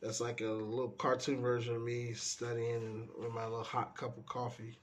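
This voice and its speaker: A man, stuffy from a cold, casually talking through the pages of his sketchbook in a relaxed, meandering way. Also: medium pitch, moderate pace, slight background noise. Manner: relaxed, meandering, trails off often. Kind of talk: casual rambling commentary.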